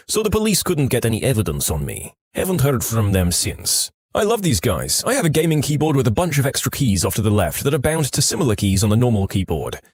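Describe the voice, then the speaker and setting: Animated male story narrator, dry close sound. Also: mid-range voice, no echo. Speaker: podcast narrator. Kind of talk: scripted narration of online stories.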